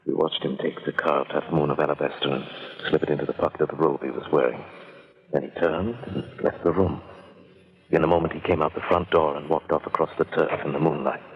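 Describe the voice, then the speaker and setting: An actor playing an earnest gentleman narrator in an eerie old time radio drama, with measured, literary delivery. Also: extremely low pitch, steady tone. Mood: hushed, uneasy. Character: earnest gentleman narrator recounting eerie events, increasingly spellbound. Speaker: narrator and lead in a radio play. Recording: muffled, noisy old radio recording.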